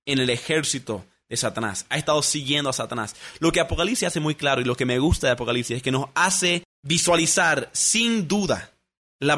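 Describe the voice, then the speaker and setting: Bright-voiced emphatic male preacher. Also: fairly high pitch. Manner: emphatic preaching with slow stressed phrases. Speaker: pastor. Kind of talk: sermon.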